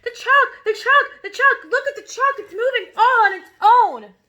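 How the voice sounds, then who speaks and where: A woman's voice: extremely high pitch, moderate pace, pushed and bright, pitched above the performer's own voice. A woman reading a story aloud, voicing a panicked young schoolboy who screams shrilly. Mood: hysterical.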